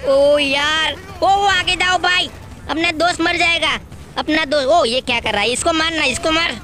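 A man's voice: normal speed, sharp tone. A man voicing a timid, stammering young male sidekick who panics easily during gameplay commentary. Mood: frantic. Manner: nervous, fearful, high-pitched.

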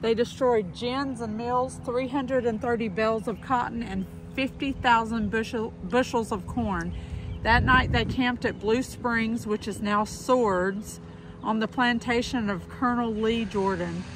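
A woman's voice: fairly high pitch, steady tone, unhurried. A woman co-hosting a travel vlog, talking outdoors in a small town. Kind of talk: casual chat. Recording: noisy outdoor recording, vehicle and ambient sounds.

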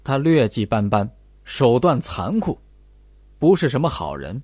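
A man reading expressive scripted narration as an audiobook narrator. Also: mid-range voice, unhurried, dry recording, studio quality.

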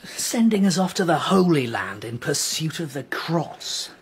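A devious young medieval king in a radio drama. His voice is very breathy and rough, fairly high, with lively swings as he insinuates and persuades. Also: a little slow, heavily acted. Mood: indignant.

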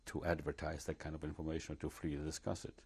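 An older man from Poland, seated in a living room with a lapel mic, recounting his memories slowly and reflectively, with many pauses and restarts. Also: a bass voice, flat delivery, a little hiss or hum. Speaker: interviewee.

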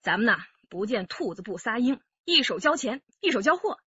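Breezy young-at-heart wife for radio drama, lively high voice, slightly dull recording. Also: female voice, a little fast, husky, dry recording, exaggerated voice. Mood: bold, dismissive. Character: bold, chatty wife selling the couple's flat.

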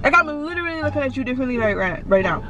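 Indignant young woman, high voice. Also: very animated, rapid-fire, a bit bright. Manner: indignant, exasperated, repetitive questioning. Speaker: co-host of a couple's channel. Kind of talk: casual argument with her husband. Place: inside a parked car. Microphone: camera on the dashboard.

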